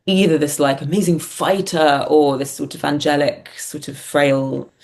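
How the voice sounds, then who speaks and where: A woman with a gravelly, creaky edge to her voice talks as a guest in a reflective interview. She thinks aloud, hesitating and rephrasing as she searches for the honest way to put things. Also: low voice, fairly even intonation, moderate pace, a little mellow. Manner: hesitant, thinking aloud, punctuated by small laughs. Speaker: guest, writer-performer.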